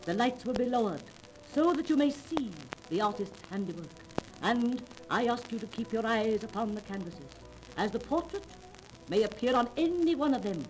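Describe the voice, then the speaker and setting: An actress playing a commanding, mysterious fake spirit medium, grandiose and solemn, in a 1930s radio drama recording. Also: female voice, a little high, animated, normal speed, music in the background, natural voice, poor audio. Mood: solemn, ceremonious.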